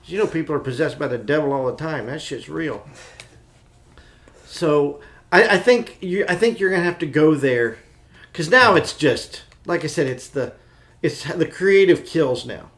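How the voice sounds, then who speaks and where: A man with a fairly high-pitched voice talks as a podcast co-host. His delivery is informal and enthusiastic, offering opinions freely as in a casual chat among friends. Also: lively intonation, moderate pace, a bit bright.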